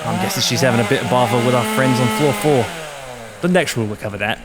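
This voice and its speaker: A soft-spoken caretaker with something dark underneath , as a man plays him. He talks in an easy, matter-of-fact way, in a room with long reverb and clear background noise. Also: mid-range voice, animated, quick pace, lightly acted. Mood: calm. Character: calm, sinister male building caretaker.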